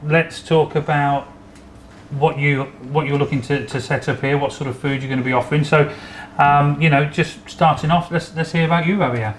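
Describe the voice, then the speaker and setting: A man's voice: a little high, steady tone, normal speed, echoey room, a little hiss or hum. A man hosting a casual local interview as an estate agent, rambling with many fillers and restarts. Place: indoors in a new deli.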